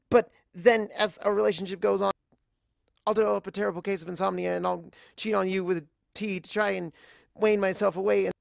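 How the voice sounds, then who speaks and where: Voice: mid-range voice, vocal fry, no room sound, studio quality, voice pushed up. A narrator voicing a nervous, awkward young pony mare who babbles and over-explains in an audiobook-style story. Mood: frantic rambling.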